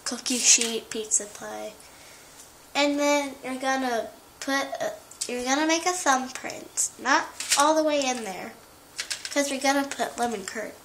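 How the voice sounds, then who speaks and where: A woman's voice: high voice, fairly bright tone, slight background noise. A young girl hosting a playful home cooking show in her kitchen, chatting and telling viewers what to do. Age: child. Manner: instructing viewers directly, chatty. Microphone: camera across the kitchen. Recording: echoey kitchen, distant from camera.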